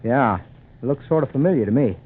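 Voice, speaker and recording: A man's voice: medium pitch, expressive, fairly quick, husky. A man playing a polite, assured city lawyer in 1940s radio acting. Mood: tense. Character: calm, courteous city lawyer. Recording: noisy, tinny 1940s radio recording.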